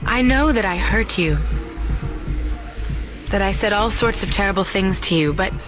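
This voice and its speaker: A manipulative woman from a sci-fi game cutscene, played by an actress, coaxing and knowing. Her pitch is mid-range. Music plays behind her voice. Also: lively intonation, normal speed, natural voice. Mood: apologetic, coaxing. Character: manipulative, cajoling woman, tough prospector's former lover. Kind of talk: video game cutscene acting.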